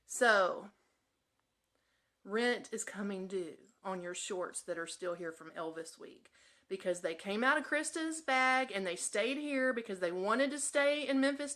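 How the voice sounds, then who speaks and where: A woman with a Southern US accent hosting a live show from home, chatting to listeners in a playful, folksy, expressive way. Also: fairly high pitch. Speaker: radio show host. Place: at home in an apartment.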